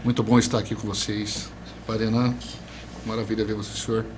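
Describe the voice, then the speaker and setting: Low-ish guy, podcast guest, polite greetings, clear noise under his voice. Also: flat delivery, brisk. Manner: cordial, formal greetings. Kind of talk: casual podcast chat.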